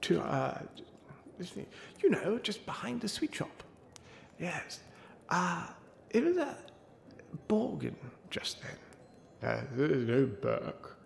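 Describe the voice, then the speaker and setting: A man's voice: on the high side, sing-song, slow pace, gravelly, full character voice. A man playing a befuddled old wizard who mumbles and rambles through tall tales in a comic ASMR roleplay, trailing off into muttered asides. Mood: muttering, befuddled. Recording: roomy echo, faint background noise and ambience.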